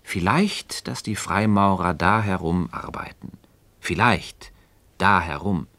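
An actor playing a calm, thoughtful philosopher and evasive mentor in a radio play, speaking in deliberate, rhetorical literary diction. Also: male voice, sits low, vocal fry, no echo, full character voice. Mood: insistent, instructive.

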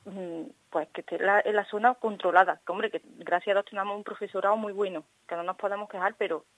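A woman's voice: mid-range voice, steady tone, fast talker, fairly bright tone, dry recording, low-quality recording. A woman with a mid-range voice, interviewed by phone. She talks spontaneously and earnestly as a school parent, insisting and repeating herself as she makes her case.

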